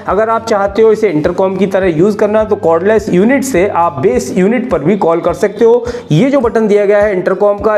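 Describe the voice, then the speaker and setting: A man's voice: high-pitched, a little mellow. A man narrating a step-by-step product review in an explanatory way, repeating his points. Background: background music.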